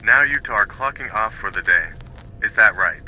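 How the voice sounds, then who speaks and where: A man acting a sharp-voiced, lowish control room boss. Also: animated, moderate pace, a little hiss or hum, rough recording. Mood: businesslike. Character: businesslike male control room boss showing newcomer around. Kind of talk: dramatised audiobook dialogue.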